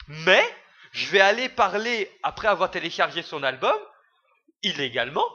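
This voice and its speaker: Young male vlogger, bright edgy voice, heated rambling rant full of fillers, muffled lo-fi recording. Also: on the high side. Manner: rambling, heated, crude slang, many fillers. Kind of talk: ranting video commentary.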